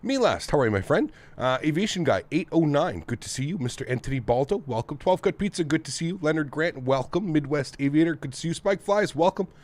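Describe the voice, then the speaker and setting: A man's voice: a little high, lively intonation, fast talker, hardly any pauses, darkish tone. A man from North America, sounding nasal and congested, hosting a casual live stream and greeting viewers one by one in an upbeat, friendly way. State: congested throat from the weather. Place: home flight sim setup.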